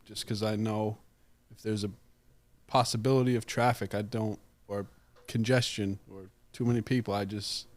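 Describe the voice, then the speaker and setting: A man in his thirties, a podcast guest speaking in casual chat, softly and hesitantly, often trailing off. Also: lowish voice, flat delivery, moderate pace.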